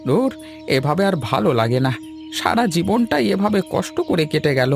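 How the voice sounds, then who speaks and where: A man's voice: high-pitched, sing-song, normal speed, vocal fry, faint music behind, heavily acted. A man voicing a weary, worried middle-aged shopkeeper father in a cartoon, in a plaintive, fretful way. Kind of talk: cartoon dialogue.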